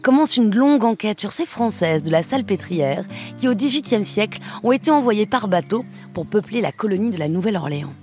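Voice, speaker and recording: A woman radio host reading a crisp portrait of her guest, then asking questions in a chatty way. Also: medium pitch, lively intonation, a little fast, a little mellow, faint music behind. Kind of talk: scripted portrait then interview.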